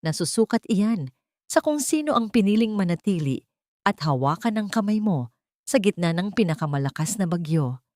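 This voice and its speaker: Woman as a gentle older mother-in-law, low-pitched. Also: sing-song, moderate pace, no room sound, studio quality. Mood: firm, loving. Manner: gentle, earnest, firm.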